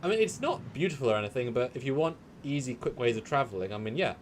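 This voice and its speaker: A man co-hosts a relaxed live chat from his room at home. He jokes easily, and his pitch swings up and down. A light hiss of noise sits under his video-call audio. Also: quick pace. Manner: lively, chatty, joking. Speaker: co-host. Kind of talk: casual live discussion.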